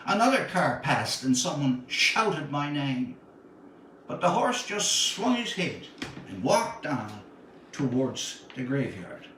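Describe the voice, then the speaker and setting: A man reading his own literary short story aloud in a dramatic, deliberate storytelling manner with measured phrasing. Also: a little high, a bit bright. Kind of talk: literary story reading, first-person narration. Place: indoor room, live stream. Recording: echoey room, distant mic, faint noise.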